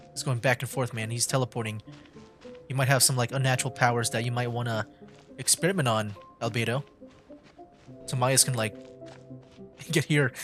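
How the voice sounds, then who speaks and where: A man's voice: mid-range voice, a bit bright. A man doing casual livestream game commentary in an informal, jokey way. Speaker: streamer.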